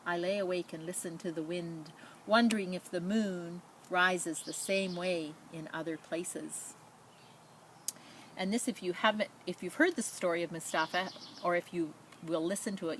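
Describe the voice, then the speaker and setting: A woman reading a children's picture book aloud in a measured, expressive storytelling manner, with spoken asides. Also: lowish voice, slight background noise. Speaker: storybook reader.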